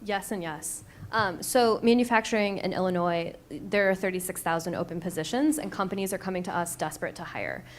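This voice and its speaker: A woman's voice: fairly low pitch, brisk, few pauses, slight background noise. A woman speaking as a company co-founder on a panel, answering fluently and confidently.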